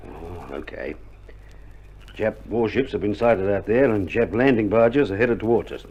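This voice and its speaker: A man's voice: on the low side, lively intonation, a little slow. An actor playing a wry, reassuring Australian army male nurse in a wartime radio drama, with laconic, joking banter, heard on an old vinyl record. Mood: brisk, reassuring. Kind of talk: 1960s radio drama acting. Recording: old vinyl recording, dull band, faint noise.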